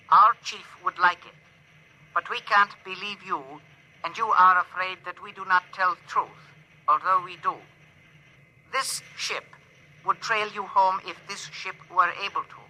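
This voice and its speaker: A man's voice: high-pitched, unhurried, pushed and bright, slight background noise, heavily acted, poor audio. An actor in a 1950s radio drama voicing an earnest, cautious male alien with stilted, formal phrasing through a radio-transmission effect. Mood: earnest, sorrowful.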